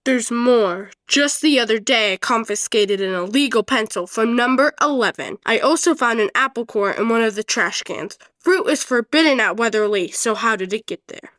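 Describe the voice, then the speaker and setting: A woman's voice: on the high side, expressive, normal speed, hardly any pauses, a bit bright, dry recording. A woman voicing a stern, punishing male enforcer at an orphanage. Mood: threatening. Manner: curt, threatening questions.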